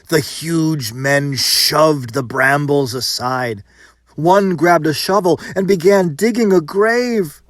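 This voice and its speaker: A man's voice: fairly high pitch, dry recording, studio quality. A man reading a folktale as dramatic narration, with a theatrical, suspenseful and emphatic delivery.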